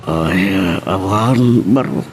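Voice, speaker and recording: A man's voice: low-pitched, takes it slowly, slight background noise. A man playing a worried older rural father in an audio drama, speaking hesitantly in broken phrases. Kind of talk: radio drama acting.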